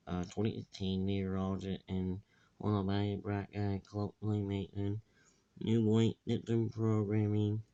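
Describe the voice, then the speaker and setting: A man giving rambling casual commentary in a halting, repetitive way with muddled wording. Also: dark tone, clean tone. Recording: dull, echoey low-fidelity recording.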